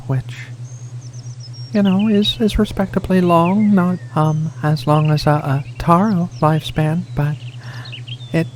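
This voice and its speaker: A soft-spoken alien cat woman, acted by a man, speaks very slowly in a tender ASMR roleplay. She is nervous and affectionate, stammering gently in a slightly high voice over light ambient noise. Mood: loving, shy.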